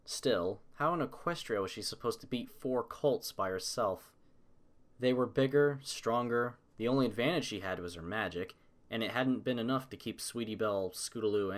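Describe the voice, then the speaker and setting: A man's voice: on the low side, normal speed. A man reading scripted story narration as the narrator.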